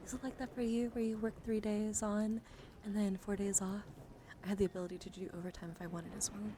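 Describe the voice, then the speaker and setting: Soft-spoken woman doing whispery ASMR. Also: medium pitch, flat delivery, quick pace. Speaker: livestream host. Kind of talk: ASMR, soft chat to livestream viewers with card reading. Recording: clearly audible ambient background noise.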